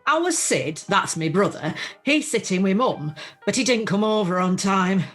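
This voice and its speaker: A woman's voice: mid-range voice, animated, measured pace, fairly bright tone. A woman playing a chatty, plucky older widow in an amateur radio play, with a Northern English accent and an earthy, self-deprecating manner. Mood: flustered, chatty. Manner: earthy, self-deprecating, uses homely dialect. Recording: faint music, home video-call recording.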